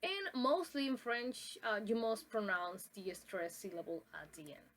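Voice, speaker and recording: Halting young woman presenting a lesson. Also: mid-range voice, a bit bright. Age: young adult. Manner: halting, with many ums and uhs and restarts. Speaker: student presenter. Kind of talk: classroom presentation on pronunciation.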